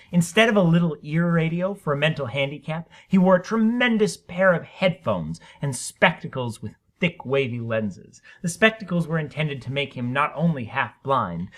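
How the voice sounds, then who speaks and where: A man narrating a short story as a dramatic reading, with theatrical, emphatic delivery. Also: fairly high pitch.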